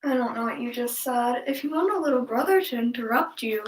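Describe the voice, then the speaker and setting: A woman's voice: on the high side, animated, brisk, roomy sound, poor audio. A young woman with a stuffy, congested nose, chatting casually and rambling as she leads a stretching class from home. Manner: chatty and rambling, many ums, laughs easily. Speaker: stretching instructor. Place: home room, indoors.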